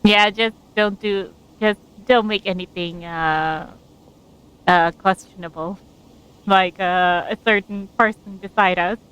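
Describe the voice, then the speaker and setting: Slow-paced, clear-voiced woman streamer. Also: mid-range voice, steady tone, a bit bright, poor audio. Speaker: game streamer. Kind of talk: casual live commentary on a game.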